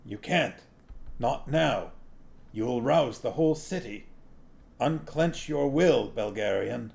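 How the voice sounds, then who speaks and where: A man's voice: medium pitch, animated, slow, creaky, darkish tone. A man voicing a stern, commanding disembodied spirit that speaks sharply and with authority in a fantasy storybook reading. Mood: sharp, urgent.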